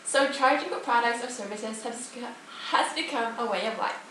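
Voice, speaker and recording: Young woman, student co-presenter, loosely scripted talk, highish voice, distant microphone, faint noise, very roomy sound. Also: normal speed, pushed and bright. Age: teenager or young adult. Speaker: student co-presenter of a mock 1950s TV broadcast. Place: echoey indoor room. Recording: very echoey room, distant mic, faint noise.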